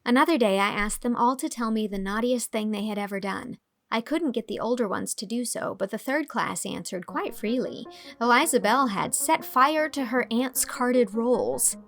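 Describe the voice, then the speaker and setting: An actress playing an amused, articulate young schoolteacher narrating a letter to a friend in an audio drama. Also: female voice, a little high, normal speed, fairly bright tone, faint music behind. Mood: fond, amused.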